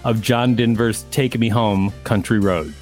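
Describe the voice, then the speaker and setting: A man's voice: on the low side, unhurried, darkish tone, soft background music. A man co-hosting a podcast, reading an article aloud and adding casual asides. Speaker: podcast co-host.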